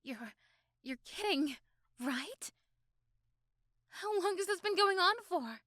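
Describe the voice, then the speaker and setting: A woman voicing a possessive, protective teenage girlfriend in an ASMR roleplay, doting and intense, with dramatic pauses and repeated words. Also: extremely high pitch, animated, sharp tone, dry recording, studio quality, voice pushed up, full character voice. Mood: shocked, angry. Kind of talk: ASMR roleplay acting addressed to the listener.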